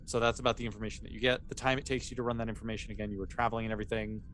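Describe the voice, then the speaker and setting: Halting man running a game, noisy background. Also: lowish voice, little pitch movement, fairly bright tone. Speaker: game master. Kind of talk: improvised narration of a tabletop sci-fi game.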